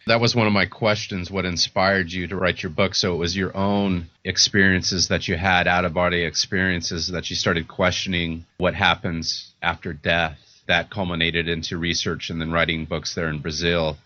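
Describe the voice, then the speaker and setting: A man hosting a podcast, reading a steady, polished intro and then asking interview questions. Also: deep voice, moderate pace, few pauses, dull audio. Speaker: podcast host.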